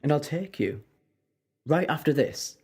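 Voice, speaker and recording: A man playing a confident, sharp-tongued time-travelling hero in an audio drama, sardonic and self-assured. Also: on the high side, lively intonation, a little slow, breathy edge. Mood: reassuring. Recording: long hall-like reverb.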